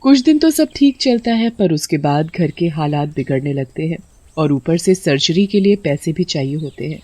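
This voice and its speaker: A woman's voice: low voice, lively intonation, moderate pace, mellow, breathy edge, dry recording. A woman reading a scripted story as narrator.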